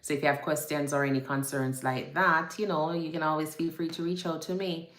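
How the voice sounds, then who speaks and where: A woman's voice: low voice, steady tone, a little fast, fairly bright tone. A woman from Jamaica, a nurse, hosting a casual livestream and greeting viewers by name in a chatty, repetitive way. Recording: echoey room.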